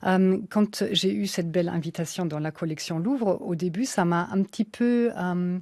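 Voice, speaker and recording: A woman speaks in a radio interview, her voice pitched low. French is not her first language, and she hesitates often, restarting phrases as she searches for words. The studio sound is dry and close. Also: normal speed, a little mellow, no room sound. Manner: hesitant, many fillers and restarts. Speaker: author and illustrator guest.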